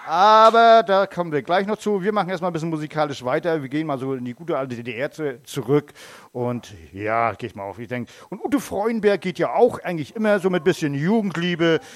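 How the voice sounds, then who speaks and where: A man's voice: fairly high pitch, a little fast, no room sound. A man hosting a live radio broadcast at an outdoor festival, chatting and joking with guests and using many fillers. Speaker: event radio host. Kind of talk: live on-site interview at a festival.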